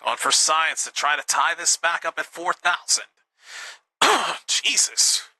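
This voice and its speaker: A man hosting a live game show with an upbeat, teasing manner, a little hoarse. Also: fairly high pitch, big pitch swings, takes it slowly, pushed and bright, no echo. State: slightly hoarse.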